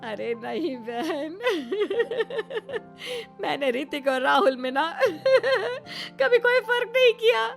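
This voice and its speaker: A woman's voice: very high-pitched, expressive, very slow, pauses often, warm tone, faint music behind, heavily acted. A woman voicing an older mother-in-law in a story, who giggles helplessly as she protests her innocence. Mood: giggling, protesting. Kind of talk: dramatised Hindi audio story.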